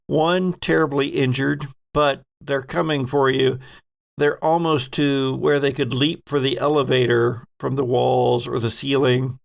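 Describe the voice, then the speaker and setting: Male game master for horror tabletop show: slow, gravelly, medium-pitched narration.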